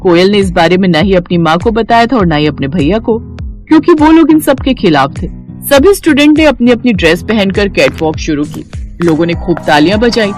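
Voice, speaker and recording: A woman's voice: mid-range voice, animated, brisk, a little mellow, faint music behind. A woman storyteller reading scripted narration for a moral tale.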